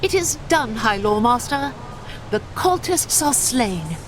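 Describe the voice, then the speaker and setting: Give me a formal, dutiful elf warrior with an expressive voice. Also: female voice, on the high side, slow pace, noisy background. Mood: earnest. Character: young female elf warrior, earnest and dutiful.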